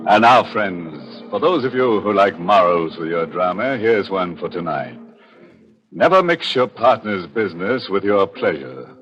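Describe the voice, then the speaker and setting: An actor playing a gleefully macabre horror host on 1940s radio, sardonic and fond of morbid puns. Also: male voice, sits low, big pitch swings, lo-fi sound, over music. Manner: sardonic, punning, chuckling, drawn-out delivery.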